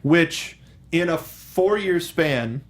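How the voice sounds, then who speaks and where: A man co-hosting a casual film discussion, speaking in a halting, rambling way and often circling back to rephrase with enthusiasm. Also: on the high side, expressive. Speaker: co-host of a film discussion show.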